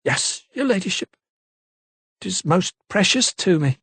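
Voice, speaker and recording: A man's voice: sits high, animated, measured pace, vocal fry, a bit bright, breathy edge, no room sound, pitched above the performer's own voice, exaggerated voice. A man voicing a timid, deferential young female maid with rustic, uneducated speech. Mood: timid, earnest. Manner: hesitant, deferential.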